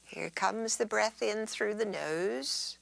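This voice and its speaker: A woman teaching yoga in a soothing, unhurried way, guiding relaxation and gentle stretches and drifting into breathy near-whispers. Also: mid-range voice.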